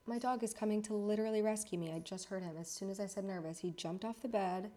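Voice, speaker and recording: A woman's voice: medium pitch, fast talker, mellow. A woman in her thirties from the United States hosting a casual solo podcast from her apartment, chatty and a bit nervous, rambling and correcting herself as she goes. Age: 30s. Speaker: podcast host.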